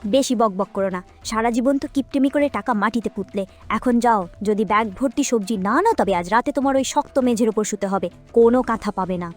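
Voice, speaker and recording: A woman voicing the sharp-tongued, sarcastic wife of a miserly fox in a comic cartoon, scolding as she talks. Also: on the high side, a little fast, faint music behind, natural voice.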